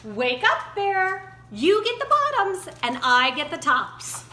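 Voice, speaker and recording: Woman playing a crafty male storybook hare, high-pitched, swooping sing-song coaxing, chipper, roomy sound. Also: huge pitch swings, slow pace, sharp tone, exaggerated voice. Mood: cheery. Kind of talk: children's picture-book character reading. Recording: roomy echo, faint background noise.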